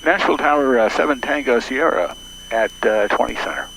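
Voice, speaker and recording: Guy flying a small plane, radio calls, mid pitched voice, lots of noise. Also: a little fast, vocal fry, fairly bright tone. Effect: aviation radio transmission. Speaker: private pilot of a small plane. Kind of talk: radio calls to air traffic control. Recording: noisy cockpit radio audio.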